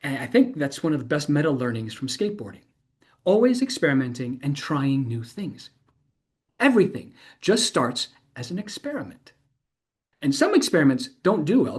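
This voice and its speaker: Casting: actor for an informal, student-devoted university instructor. Medium pitch with swooping, animated delivery, chatty stage monologue that keeps restarting itself. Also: lightly acted. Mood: reflective, eager. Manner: animated, rambling, self-interrupting. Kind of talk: conversational interview-style dramatic monologue.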